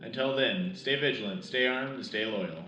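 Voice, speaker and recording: A man's voice: medium pitch, moderate pace, reverberant, loud music behind the voice, natural voice, low-quality recording. A man playing an earnest, patriotic host of a sci-fi wartime radio news show, with a formal announcer delivery. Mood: rousing, earnest. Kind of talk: scripted wartime radio broadcast.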